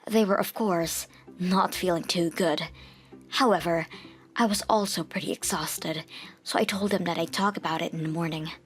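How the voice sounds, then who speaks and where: A woman's voice: lowish voice, steady tone, moderate pace. A woman reading scripted first-person story narration for a dubbed comic. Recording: music behind the voice.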